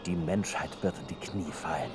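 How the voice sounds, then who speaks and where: An actor playing a cold, menacing soldier in an audio drama, speaking quietly and deliberately with sinister intent. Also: male voice, faint music behind, natural voice. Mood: quiet menace. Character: cold, menacing male soldier secretly serving a beast king. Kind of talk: cinematic audio drama acting. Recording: clearly noisy, vehicle rumble behind.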